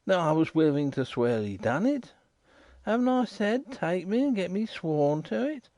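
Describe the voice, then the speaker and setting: A man's voice: sits high, sing-song, moderate pace, warm tone, no room sound, pitched above the performer's own voice. A man voicing a shifty, indignant riverside informer, insistent and repetitive.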